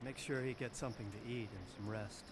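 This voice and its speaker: An actor playing a grave, measured old lord in a medieval video game, solemn and authoritative. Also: male voice, on the low side, fairly even intonation, close to an everyday voice. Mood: sympathetic. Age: older. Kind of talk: video game cutscene acting. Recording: noisy game ambience behind.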